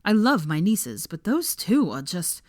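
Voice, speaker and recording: A woman's voice: fairly low pitch, big pitch swings, unhurried, a little mellow, no echo, clean studio sound. A woman voicing a blunt, concerned young man, a loyal friend who is stern and exasperated. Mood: relieved, exasperated.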